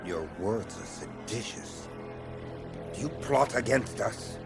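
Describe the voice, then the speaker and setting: An actor playing a stern, accusing ancient warrior leader in a video game cutscene, curt and commanding. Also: male voice, lowish voice, expressive, slow, over music. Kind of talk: dramatic game cutscene acting.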